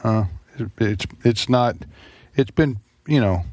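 A man's voice: medium pitch, slow pace, darkish tone, no room sound. A man hosting a talk radio show, chatting hesitantly with many uhs and restarts. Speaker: radio host.

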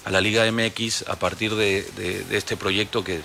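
A Peruvian man in his forties, a football club executive answering radio interview questions in a measured, explanatory way with many fillers. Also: fairly low pitch, fairly even intonation, creaky, heavy background noise. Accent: Peruvian Spanish. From Peru. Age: 40s.

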